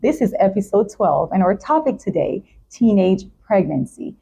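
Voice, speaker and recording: A woman's voice: medium pitch, big pitch swings, normal speed, vocal fry, dark tone, breathy edge. A woman talk show host with an effusive, polite presenting manner, speaking in announcements and interview chat.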